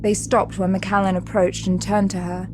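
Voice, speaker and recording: A woman's voice: mid-range voice, level tone, normal speed, background noise. A woman narrator reading dramatic scripted story narration for an audio drama.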